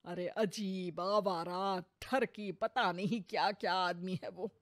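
A woman's voice: on the low side, steady tone, slow pace, no room sound, clean studio sound. A woman playing a cautious middle-aged mother-in-law in a cartoon story, emphatic and protective as she advises. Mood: exasperated. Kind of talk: Hindi cartoon story acting.

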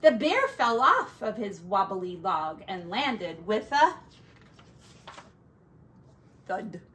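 Woman, children's storyteller, unhurried pace, lively swooping intonation, playful emphasis. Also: mid-range voice. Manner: animated, drawn-out emphasis with playful asides. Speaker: teacher reading aloud to children. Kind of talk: expressive picture-book storytelling for young children.

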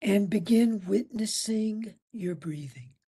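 Female meditation instructor, very unhurried, mellow and slightly husky, soothing delivery with spacious pauses. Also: mid-range voice. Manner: soothing, unhurried, with long pauses between short phrases. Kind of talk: guided meditation.

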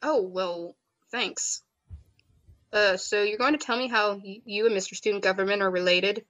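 A woman voicing a kind young college student who is earnest and quick to sympathize. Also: medium pitch, a bit bright, natural voice.

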